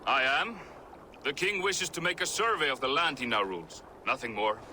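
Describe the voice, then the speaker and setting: A man's voice: medium pitch, lively intonation, normal speed, sharp tone, a little hiss or hum. An actor playing a terse, loyal Norse king's soldier, matter-of-fact in game dialogue. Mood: curt, dutiful.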